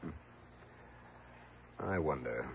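A man's voice: rumbling low, lively intonation, extremely slow, vocal fry, exaggerated voice. An actor playing a sceptical male private investigator with a dry, sardonic manner in a 1960s radio drama. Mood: reluctant, pensive. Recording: dull, faintly noisy old radio recording.

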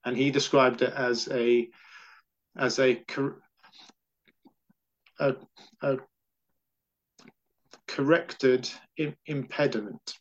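A man's voice: medium pitch, steady tone, slow, pauses often, highs cut off. A man with a stammer, answering questions in a casual interview, repeating syllables and words as he talks.

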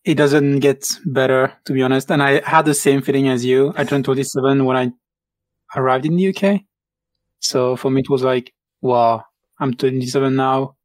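A man in his late twenties who is a guest on a podcast and talks in a calm, reflective, measured way. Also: mid-range voice, dry recording. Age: 20s. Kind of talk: casual podcast chat.

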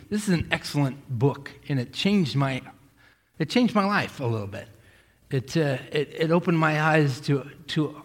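A man from Massachusetts leads a sermon in a loose, joking way, often breaking off and restarting his sentences. His voice sits a little high for a man. The church sanctuary gives his voice a long, lingering reverb. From Massachusetts, USA. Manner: casual, joking, self-interrupting preacher. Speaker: pastor. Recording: hall reverb.

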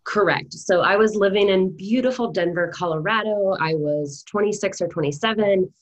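A woman's voice: medium pitch, normal speed. A woman in her thirties with an American accent, speaking as a podcast guest in a conversational storytelling style. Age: 30s. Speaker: podcast guest, real estate investor.

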